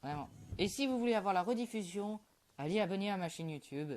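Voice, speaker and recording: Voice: mid-range voice, expressive, fast, a little mellow, a little hiss or hum. A young gamer, chatting casually over his gameplay in a mumbled, offhand way with lots of fillers. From Quebec, Canada. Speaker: gaming YouTuber.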